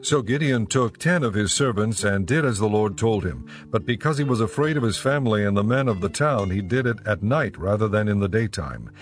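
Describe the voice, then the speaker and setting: A man narrating a dramatized audio Bible in a measured storytelling manner. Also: lowish voice, animated, soft background music.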